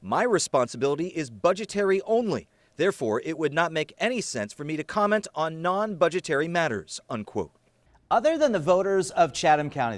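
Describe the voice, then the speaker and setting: A man's voice: on the high side, no room sound. An American man reading scripted investigative news as a television reporter, with a serious, emphatic broadcast delivery.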